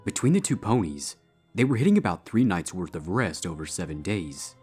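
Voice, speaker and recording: Guy narrating a story, real deep n husky, music in the background. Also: sing-song, fairly quick, mellow.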